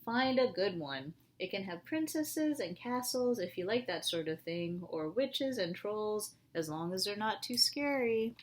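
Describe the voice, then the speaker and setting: A woman reading a picture book aloud to young children in an animated way, often asking them questions. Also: medium pitch, measured pace, hardly any pauses, slight background noise.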